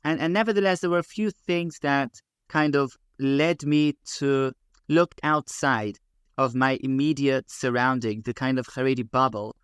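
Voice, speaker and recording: A middle-aged man from London with a London English accent, speaking as a guest rabbi in a podcast interview, measured and full of fillers and restarts. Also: mid-range voice, a bit bright, clear, resonant tone, dry recording, studio quality. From England.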